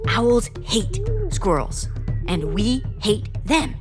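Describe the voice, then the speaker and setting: Excitable little boy squirrel, high-pitched. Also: slow pace, music in the background. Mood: eager, reckless. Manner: hesitant, stammering, dramatic. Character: small young male squirrel, excitable, nervous but reckless. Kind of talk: audio drama character acting.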